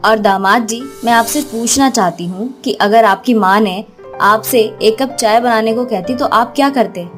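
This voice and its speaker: A woman acts out a middle-aged mother-in-law in a moral story. Her voice is on the high side, sugary but taunting, and she is sarcastic and manipulative. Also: normal speed, soft background music, natural voice. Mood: wounded, wheedling. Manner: sugary, guilt-tripping, taunting.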